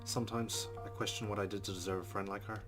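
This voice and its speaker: A man's voice: lowish voice, little pitch movement, fast talker. A man hosting a game playthrough, reading narration aloud in a subdued way with swearing asides. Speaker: let's play host. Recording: guitar music behind.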